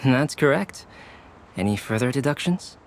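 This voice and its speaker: A man plays a composed male cook from a video game, courteous and well informed. He has a medium-pitched voice and explains politely in measured phrases, with faint ambience behind. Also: animated, a little hiss or hum, natural voice. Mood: calm, obliging. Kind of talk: English video game voice acting.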